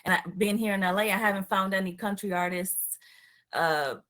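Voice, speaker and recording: A woman's voice: mid-range voice, normal speed, a bit bright. Chatty Puerto Rican woman hosting. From Puerto Rico. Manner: chatty, enthusiastic, trails off with fillers. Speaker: show host. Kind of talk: casual interview over a call.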